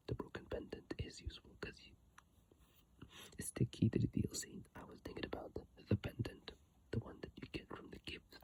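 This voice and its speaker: A person whispering in an ASMR style, breathy and airy, reading aloud with informal, halting, unhurried asides into a phone microphone up close. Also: dark tone, rough recording. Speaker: ASMR content creator. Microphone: iPhone microphone, close up.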